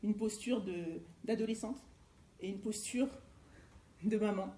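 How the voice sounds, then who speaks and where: A woman's voice: mid-range voice, unhurried, mellow. A middle-aged woman from France gives a personal presentation at a seminar in a conversational, storytelling manner. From France, Eure-et-Loir. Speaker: life coach and former youth educator. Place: indoor seminar room. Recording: roomy echo with faint background noise.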